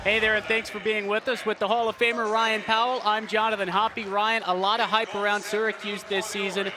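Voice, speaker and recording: Male sportscaster, twenties, notably high voice, delivering hosting and game commentary over music. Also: flat delivery, brisk. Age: 20s. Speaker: sports broadcaster, play-by-play announcer.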